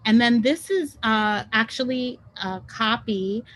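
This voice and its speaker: A woman's voice: on the high side, a little slow, a bit bright. A woman whose first language is French, speaking as a guest on an online panel webinar in a conversational way with many ums and self-corrections, over a video call.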